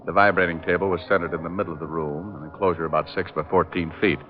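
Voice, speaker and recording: An actor playing a glib, flirtatious private detective who narrates his case with deadpan quips in vintage radio style. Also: deep voice, fast talker, lightly acted. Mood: wry narration. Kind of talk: 1940s-50s radio detective acting, hardboiled narration. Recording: muffled old-time radio recording with music.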